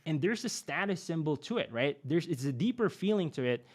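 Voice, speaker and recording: A man's voice: a little high, brisk. A young adult man, a podcast guest and marketing expert, explaining in a conversational way with frequent fillers and a repeated "right?". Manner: animated, many fillers and tag "right?".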